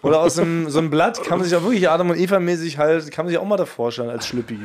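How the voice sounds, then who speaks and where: A man's voice: on the high side, racing, a little hiss or hum. A man from Germany chats as a podcast co-host in a lightly raised register. His delivery races along in loose, slangy, comic style, full of playful exaggeration. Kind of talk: casual comic chat.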